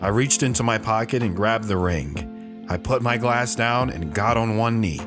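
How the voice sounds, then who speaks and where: A man's voice: fairly low pitch, normal speed, music in the background, natural voice. A man playing an earnest, lovestruck lone wanderer recounting his life in a reflective, sentimental audio drama style. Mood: tender, nostalgic. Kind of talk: dramatic first-person audio drama narration.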